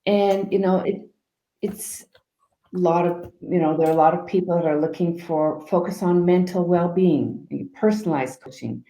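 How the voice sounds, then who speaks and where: Calm, soft-spoken woman with a mellow voice. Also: fairly low pitch, moderate pace, echoey room, low-quality recording. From Canada. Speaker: guest life coach. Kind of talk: interview answers.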